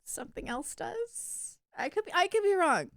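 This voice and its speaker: A woman's voice: extremely high pitch, wildly swooping pitch, normal speed, a bit bright, no echo, studio quality. A woman livestreamer chatting casually with her viewers in an enthusiastic, emphatic gamer way. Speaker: video game livestreamer.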